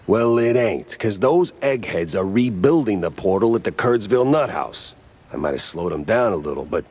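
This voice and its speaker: An actor playing a weary, wisecracking mouse private eye in a noir cartoon game, with a sardonic, slangy delivery. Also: male voice, lowish voice, expressive, moderate pace, a little mellow, husky. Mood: wry, weary. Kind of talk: 1930s noir cartoon detective acting.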